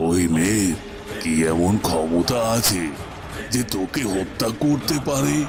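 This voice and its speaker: A commanding tantric holy man of cartoon legend. His voice sits very deep, grave and protective, with expressive swells. Music is clearly audible underneath. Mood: grave, reassuring. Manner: slow, grave, authoritative. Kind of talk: Bengali cartoon voice acting. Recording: music behind the voice. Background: background music.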